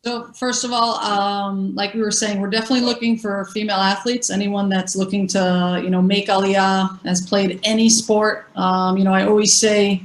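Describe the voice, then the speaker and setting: A woman's voice: medium pitch, little pitch movement, moderate pace, few pauses. Woman on an echoey video call. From born in the US, raised in Israel. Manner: casual, filler-heavy, enthusiastic recruiting. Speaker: women's football player. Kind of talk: panel discussion over video call.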